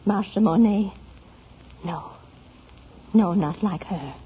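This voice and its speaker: An actress playing a gentle, wistful old spinster in a 1940s radio drama. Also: female voice, mid-range voice, slow, slight background noise, lightly acted. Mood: tender, sad. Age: older. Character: frail old spinster, gentle, wistful, former opera star. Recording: tinny 1940s radio recording, music behind.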